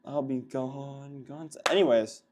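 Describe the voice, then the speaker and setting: A man's voice: mid-range voice, steady tone, measured pace. Upbeat young male student host. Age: teens. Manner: upbeat, playful, hypes the guest. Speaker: student host of a school show. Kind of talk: casual school news chat.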